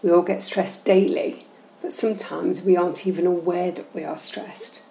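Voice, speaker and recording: A woman guiding a meditation session in a soothing, gentle, measured and instructional way. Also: on the low side, fairly even intonation, vocal fry. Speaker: guided meditation host. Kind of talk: slow, soothing guided meditation narration. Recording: roomy echo, faint background noise.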